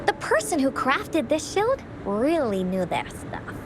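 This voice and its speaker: Casting: bubbly little girl adventurer for an anime game; very high pitch, unhurried delivery. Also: very animated, over music. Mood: impressed. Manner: eager, exclamatory.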